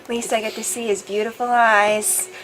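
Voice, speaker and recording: A woman's voice: fairly high pitch, little pitch movement, moderate pace, a bit bright, low-quality recording. A woman giving casual, playful commentary as a let's play co-host. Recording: faint background noise.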